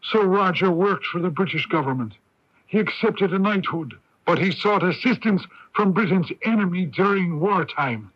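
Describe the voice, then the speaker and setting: An actor playing a forceful, witty older playwright who argues in deliberate rhetoric, in radio drama style. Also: male voice, high-pitched, animated. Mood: argumentative. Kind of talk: 1980s radio drama acting. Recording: dull lo-fi 1980s AM radio recording.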